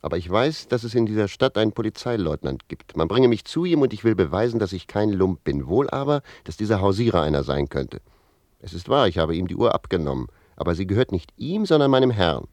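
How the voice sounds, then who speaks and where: Self-assured servant, low voice, swooping intonation, insistent, cheeky, radio drama. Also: male voice, fairly quick, dry recording. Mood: defiant, assured. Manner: animated, insistent. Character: cheeky, self-assured manservant protesting his honesty. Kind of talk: German radio play acting.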